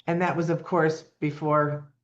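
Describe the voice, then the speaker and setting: A woman's voice: low-pitched, flat delivery, unhurried, dull audio. A woman in her seventies, a nonprofit founder talking as a guest in an earnest, plainspoken way with frequent ums. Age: 70s. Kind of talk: casual interview talk.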